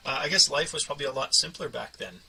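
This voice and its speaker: A man's voice: a little high, quick pace, vocal fry, bright, forceful tone, breathy edge, recorded from a distance. Male podcast host, conversational interviewer.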